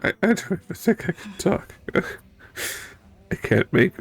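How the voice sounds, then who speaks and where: A streamer talking to viewers in an unscripted way, tearful, sniffling and choked up from crying, halting and at a loss for words. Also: medium pitch, big pitch swings, vocal fry, breathy edge, no room sound. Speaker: streamer, game playthrough host. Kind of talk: emotional unscripted chat to viewers after finishing a game.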